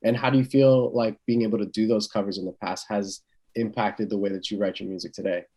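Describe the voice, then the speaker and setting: A man's voice: on the low side, steady tone, fairly quick, a little mellow. A man working as an interviewer, asking long, casual questions in a tentative way and rephrasing them as he goes.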